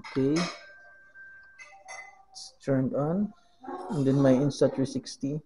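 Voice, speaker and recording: A man with a Filipino accent giving a casual how-to demonstration as a vlogger, halting as he searches for words and mixing two languages. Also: medium pitch, mellow, low-quality recording. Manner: halting, searching for words, switches between Tagalog and English. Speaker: vlogger giving a gadget tutorial.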